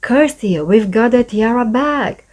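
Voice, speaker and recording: A woman voicing an eager, excitable young girl from a fairy storybook, in an exclaiming manner. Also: on the high side, a little slow.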